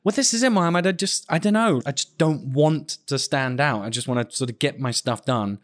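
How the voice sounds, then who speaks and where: A man's voice: mid-range voice, brisk. A man hosting a podcast in casual conversation, joking and poking fun at himself. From United Kingdom. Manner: jokey, self-deprecating. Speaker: podcast host.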